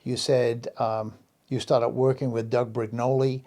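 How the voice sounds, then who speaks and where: A man's voice: mid-range voice, little pitch movement, unhurried, mellow. A man hosting an interview, asking questions and affirming his guest with frequent agreement. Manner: affirming, lots of backchannel agreement. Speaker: host. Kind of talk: casual interview talk.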